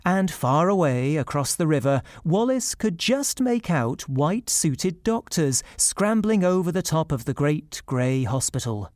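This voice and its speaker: Very expressive, dry-recorded storybook narrator. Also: medium pitch, unhurried, hardly any pauses, dry recording. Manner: acts out the characters' dialogue. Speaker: actor reading a story.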